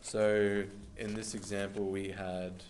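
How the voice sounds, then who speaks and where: A man's voice: lowish voice, level tone, takes it slowly, darkish tone, slight background noise. A male student demonstrating a calculator function to his class in an offhand, plain way, with fillers.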